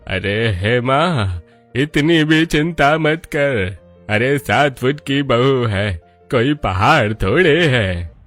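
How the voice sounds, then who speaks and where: A man voicing a mild, reasoning middle-aged father in a family cartoon, with a placating, measured manner. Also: medium pitch, animated, fairly bright tone, faint music behind, exaggerated voice. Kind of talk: Hindi family cartoon dubbing.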